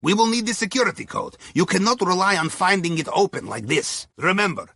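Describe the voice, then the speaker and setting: An actor playing a wry, upbeat heist planner in a video game, with a Russian accent, a husky voice and folksy, article-dropping speech. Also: male voice, high-pitched, lively intonation, a little fast, sharp tone, no echo, studio quality, exaggerated voice. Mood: conspiratorial, upbeat. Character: wry, upbeat male submarine captain's sidekick and heist planner. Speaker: mission guide. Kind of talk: scripted video game voice lines.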